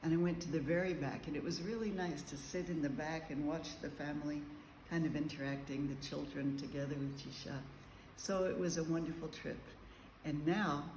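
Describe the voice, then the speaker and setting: A man telling a casual travel diary to camera, meandering and chatty with frequent ums and pauses. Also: on the high side, a little mellow, a little hiss or hum. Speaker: travel vlogger. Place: large room with high ceilings, indoors. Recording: echoey room, faint background ambience.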